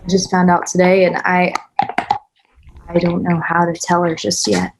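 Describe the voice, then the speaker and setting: A woman's voice: on the low side, little pitch movement, moderate pace, noisy background, close to an everyday voice. A woman acting a tough, weary, guarded single mother in a naturalistic screenplay read, blunt and sardonic. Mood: guarded, heavy. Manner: blunt, sardonic, plain-spoken.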